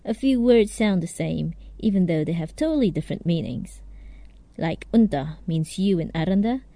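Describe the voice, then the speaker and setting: A woman whose first language is Indonesian, narrating a report in a calm, measured way. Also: lowish voice, no echo. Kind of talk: scripted narration.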